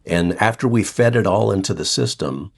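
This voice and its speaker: A middle-aged man with a cold that deepens his voice, chatting as the guest of an interview. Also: moderate pace, gravelly. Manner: relaxed and chatty, frequent restarts. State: has a cold, voice deeper than usual. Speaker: funeral home CEO, guest. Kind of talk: casual interview talk.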